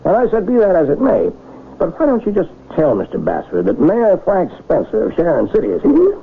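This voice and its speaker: An actor playing a modest, plain-spoken small-town mayor and husband in an old-time radio drama, with a wry, folksy, self-deprecating manner. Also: male voice, high-pitched, huge pitch swings, brisk, gravelly, narrow, tinny audio, heavily acted. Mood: modest, matter-of-fact. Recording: band-limited, noisy radio drama recording.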